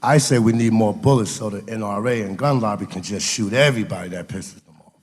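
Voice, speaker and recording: A man's voice: fairly low pitch, a little fast, slightly rough. A man playing a bloodthirsty, sardonic talking bullet in an audio drama. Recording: long echoing reverb.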